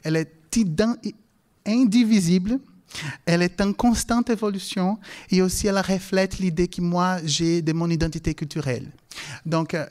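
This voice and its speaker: Higher-voiced man, native Portuguese speaker, lecturing haltingly. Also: a little mellow. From Brazil. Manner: halting, hesitant phrasing with frequent self-corrections. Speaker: language lecturer and conference speaker. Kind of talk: public talk with personal anecdotes.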